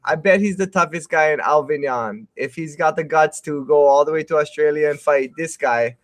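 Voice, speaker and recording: A man's voice: on the high side, moderate pace. A man in his thirties giving casual, rambling live fight commentary, often repeating his phrases. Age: 30s. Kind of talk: casual live play-by-play chat.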